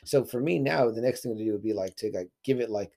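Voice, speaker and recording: A man's voice: on the low side, fast, creaky, mellow. A man in his forties co-hosting a podcast, rambling casually with lots of filler words and swearing. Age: 40s. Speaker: podcast co-host. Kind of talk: casual chat.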